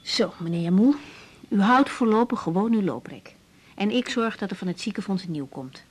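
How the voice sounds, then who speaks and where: A woman's voice: mid-range voice, expressive, moderate pace, darkish tone, breathy edge. An actress playing a caring, informal young female doctor in a naturalistic radio drama. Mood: friendly, brisk. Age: young adult.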